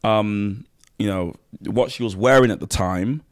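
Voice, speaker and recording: A young man with a low voice talks through a topic in casual podcast chat. He restarts his sentences as he thinks, and his pitch jumps up when he presses a point. The sound is close and dry, with no room echo. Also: unhurried. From Nigeria, raised in South East London. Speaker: podcast co-host.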